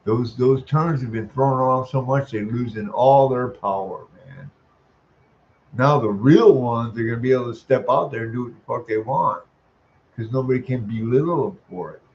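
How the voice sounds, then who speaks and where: A man ranting in a profane monologue, ending sentences with "man," chuckling, and stalling on drawn-out "uh" sounds. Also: medium pitch, darkish tone, muffled recording. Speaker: vlogger.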